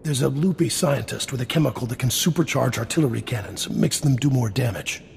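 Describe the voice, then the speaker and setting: An actor playing a persuasive, wheedling fixer for smugglers in a video game, glib and coaxing. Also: male voice, medium pitch, a little fast, gravelly, darkish tone, in a large hall, a little hiss or hum, lightly acted. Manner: glib, coaxing salesman-like talk.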